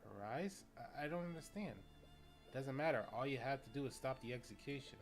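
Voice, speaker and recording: A man's voice: medium pitch, lively intonation, fairly quick, faint music behind, natural voice. A man playing a troubled young man haunted by grief, in a dramatic role-play. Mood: anguished, confused.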